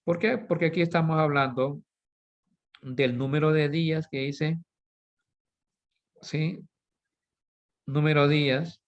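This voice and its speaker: A man's voice: on the high side, steady tone, slow, long pauses. A man giving a recorded statistics lesson, explaining with everyday examples, correcting himself now and then, and asking his students "right?" after nearly every point. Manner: asks "¿sí?" and "¿verdad?" constantly. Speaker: teacher. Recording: slightly dull lo-fi recording.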